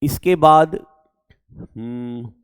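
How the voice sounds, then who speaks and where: A male coaching teacher with a sore throat, speaking slowly and deliberately as he walks students through exam details in Hindi. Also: medium pitch, clean tone, dry recording. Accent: North Indian Hindi. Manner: slow, deliberate, addresses listeners as dear children. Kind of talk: exam information lesson to students.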